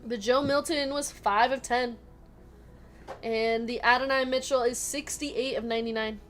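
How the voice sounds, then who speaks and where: A woman with a fairly high voice chats as she hosts a live card break, reading out players and card numbers. She laughs often and keeps a casual tone, and music plays faintly behind her. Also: steady tone, moderate pace, a bit bright.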